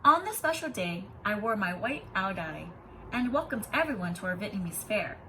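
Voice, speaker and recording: Bright-voiced woman reading to kindergarteners. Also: mid-range voice, normal speed, a little hiss or hum, low-quality recording. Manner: animated, explanatory, addressing young children. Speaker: kindergarten teacher. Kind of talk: expressive picture-book read-aloud with teaching asides.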